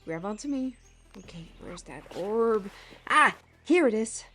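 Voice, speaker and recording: A woman voicing a brash, boisterous adventurer who talks gruffly, calls people lass and lad, and breaks into shouts. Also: medium pitch, expressive, unhurried, long reverb tail, faint music behind. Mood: urgent.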